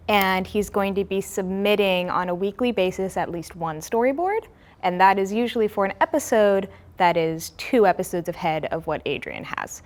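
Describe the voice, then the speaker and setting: A young woman who co-creates and writes a comic, explaining her process in an interview. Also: medium pitch.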